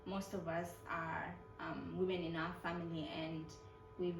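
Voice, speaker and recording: A woman from Kenya, working as a nurse, talks casually and hesitantly, pausing with ums as she answers. She sits away from the mic in an echoey room, and her voice is a little low. Music plays clearly behind her. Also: little pitch movement, far from the mic. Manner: hesitant, with frequent ums and restarts. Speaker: nurse, interview guest.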